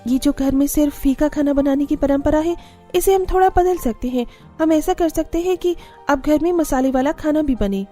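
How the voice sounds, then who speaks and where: High, bright young daughter-in-law played by a woman. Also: normal speed, soft background music, close to an everyday voice. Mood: earnest, resolute. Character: wistful young daughter-in-law longing for spicy food. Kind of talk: Hindi cartoon story acting.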